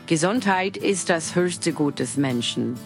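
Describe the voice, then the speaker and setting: Lowish woman's polished promo voice-over. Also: expressive, unhurried, soft background music. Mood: composed, promotional. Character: polished female clinic promotional video narrator. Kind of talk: scripted advertising narration.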